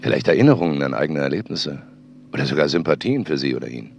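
A very deep, gravelly storyteller. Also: male voice, big pitch swings, quick pace, darkish tone, exaggerated voice. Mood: grave, intense. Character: stern, aloof male storyteller on a 1977 tape. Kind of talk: dramatic scripted narration. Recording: noisy old home tape recording.

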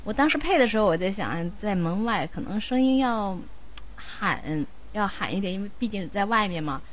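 A woman's voice: medium pitch, animated, moderate pace. An older woman chatting casually as a guest, rambling and questioning herself as she goes. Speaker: amateur voice actress, guest. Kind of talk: casual chat.

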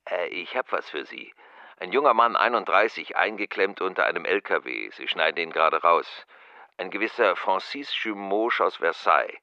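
A man's voice: deep voice, expressive, normal speed, pushed and bright, no room sound, highs cut off, exaggerated voice. A man acting a matter-of-fact police commissioner in a German radio crime drama, speaking in clipped, unsentimental reports.

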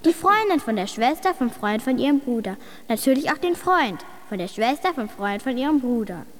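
Imaginative young girl, radio drama, earnest emphatic storytelling, high swooping voice, long reverb. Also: very animated, normal speed. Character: plucky, imaginative young girl reading out her adventure story.

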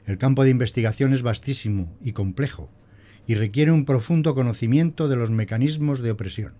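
A man's voice: mid-range voice, dark tone, lightly acted. A man playing a prim, pedantic male schoolteacher who lectures didactically in a scripted audio drama. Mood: pompous lecturing. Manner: didactic, pompous.

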